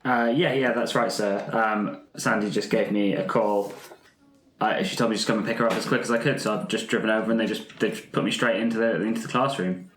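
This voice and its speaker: A man's voice: on the low side, steady tone, fairly quick, a bit bright, echoey room, a little hiss or hum, natural voice. A man playing a casual, deferential uncle who talks plainly and hesitantly. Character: casual, deferential man collecting his young niece.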